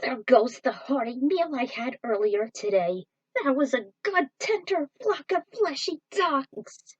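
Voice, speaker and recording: A woman voicing a complaining, melodramatic mutant monster in a story, whining and drawn-out, recorded lo-fi. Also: high voice, animated, pitched above the performer's own voice. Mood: miserable, whining. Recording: dull lo-fi home recording.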